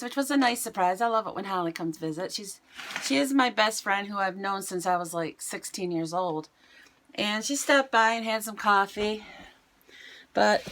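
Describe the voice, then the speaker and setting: Middle-aged woman vlogger, raspy today, chatty and self-mocking, plain mid pitch. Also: a bit bright, a little hiss or hum. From United States. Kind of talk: casual chat to camera. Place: home, indoors.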